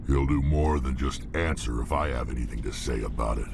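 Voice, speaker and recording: A man playing a gruff, battle-hardened alien warrior in a video game, with a blunt, terse way of talking. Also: a little fast, noisy background. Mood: gruff, grim. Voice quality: very deep gravelly growl. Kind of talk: video game character acting.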